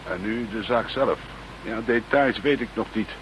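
An actor playing a genial, businesslike Dutch chief police inspector in a radio drama. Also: male voice, on the low side, normal speed, natural voice, poor audio. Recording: faint background noise, old radio play.

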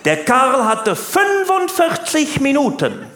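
A man's voice: very high-pitched, wildly swooping pitch, slow pace, exaggerated voice. A man performing stand-up as a chatty, naive, grumbling working-class family man, rambling and exaggerating in a folksy way. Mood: mock-awed storytelling. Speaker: stand-up comedian. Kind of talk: stand-up comedy monologue to live audience. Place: stage in a live comedy venue. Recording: roomy live hall, faint background noise.

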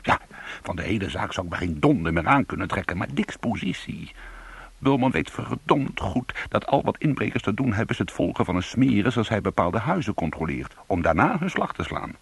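Casting: streetwise, world-weary crook for radio noir, very raspy low voice, hard-boiled. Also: male voice, very animated, brisk, no room sound, full character voice. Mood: wry, bitter. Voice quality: husky, gravelly. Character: world-weary, streetwise male crook telling his own story. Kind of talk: radio crime drama, first-person narration and dialogue.

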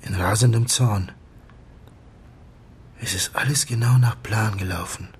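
An actor playing a grieving doctor in a radio drama, confessing in a halting, subdued voice that often sinks toward a whisper. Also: male voice, fairly low pitch, a little hiss or hum, natural voice. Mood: agitated confession. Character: grieving male doctor confessing to his friend's staged death. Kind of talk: German radio drama acting.

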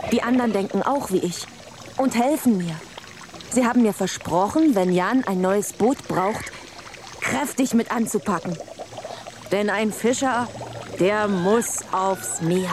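A woman's voice: medium pitch, background noise, natural voice. An actress playing a resolute, tender young fisherman's wife in a children's radio drama, speaking earnestly.

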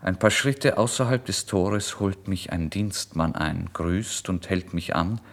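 A man giving a dramatic first-person literary narration, intense and theatrical, moving between hushed and loud delivery. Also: sits low, unhurried.

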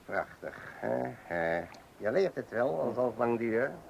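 Bossy, exasperated angler, wildly swooping pitch. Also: male voice, very animated, a little slow, gravelly, dull audio, slight background noise. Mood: bossy, patronising. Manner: nagging, hesitant repetitions. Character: exasperated, bossy angler instructing his clumsy companion at night. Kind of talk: comic radio play acting in Dutch.

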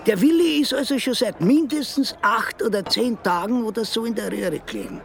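An actor playing an alarmist, excitable village man with an Austrian accent in a radio drama, dramatic and insistent. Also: sits high, normal speed, creaky, full character voice. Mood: alarmed, insistent. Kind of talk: dialect radio drama acting.

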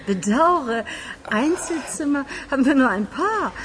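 An actress playing an officious, unyielding sanatorium receptionist in a radio crime drama, businesslike in manner. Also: female voice, high voice, lively intonation, unhurried, a little hiss or hum.

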